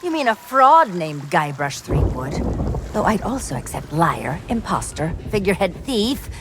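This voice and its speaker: A woman's voice: lowish voice, big pitch swings, normal speed, noisy background. A woman playing a vengeful, hot-headed pirate in a video game cutscene, biting and sarcastic. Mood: scornful. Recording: storm and sea ambience behind.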